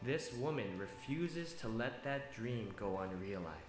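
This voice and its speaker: A man's voice: medium pitch, animated. Earnest male narrator over music. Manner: measured, earnest storytelling. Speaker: video narrator. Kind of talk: scripted documentary narration. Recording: roomy with music behind. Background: instrumental music.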